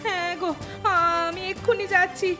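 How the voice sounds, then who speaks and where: A woman's voice: very high-pitched, fairly even intonation, moderate pace. A woman playing a meek, pleading young widow and mother in a cartoon folk tale. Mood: panicked. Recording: music behind the voice.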